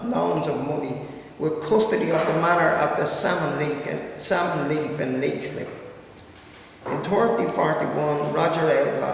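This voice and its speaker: A man gives a local history talk in a slightly high, gravelly voice. He reads steadily from notes and keeps stopping to wander into unsure asides. He is heard from well back in a big hall with a long ringing echo. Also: slight background noise. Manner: reads steadily, then breaks into hesitant asides. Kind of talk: history lecture read from notes. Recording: echoey hall recorded from far off.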